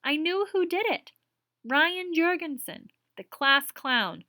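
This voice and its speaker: A woman putting on a worn-out middle-aged schoolteacher, her voice raised higher and louder than plain speech as she pleads. She sounds near tears and emotional, close to a dry microphone. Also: very animated, slow pace, no room sound, heavily acted. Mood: distressed. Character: weary middle-aged female teacher, distraught.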